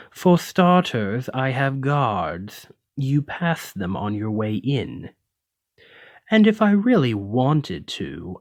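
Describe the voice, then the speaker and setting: A man's voice: on the low side, a little mellow, dry recording, heavily acted. A man playing a haughty, menacing lamia noble in an ASMR roleplay, speaking with condescending, theatrical, aristocratic deliberation. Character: haughty, menacing male lamia noble, wealthy retired merchant. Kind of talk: ASMR roleplay addressed to the listener.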